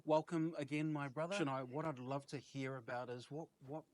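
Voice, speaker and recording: A man's voice: mid-range voice, steady tone, fairly quick, darkish tone. A man hosting a podcast, chatting casually as he interviews his guest. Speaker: podcast host.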